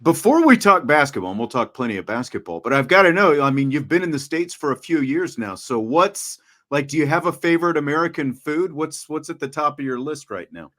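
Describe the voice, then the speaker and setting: A man's voice: fairly high pitch, lively intonation, brisk, fairly bright tone. A man interviewing a young athlete in a chatty, conversational way, often restarting and repeating words. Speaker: sports broadcaster, interviewer.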